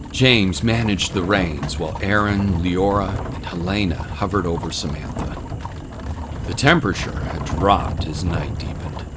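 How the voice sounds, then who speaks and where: A man narrates a dramatic tale in a very low voice. His scripted storytelling is set over clear background music.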